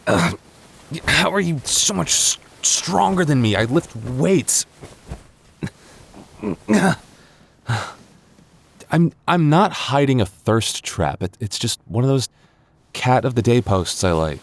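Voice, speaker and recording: A man's voice: medium pitch, expressive, unhurried, creaky. A man playing a flustered young man with a secret crush in an intimate boyfriend ASMR roleplay, stammering and getting defensive. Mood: panicked, playful. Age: young adult.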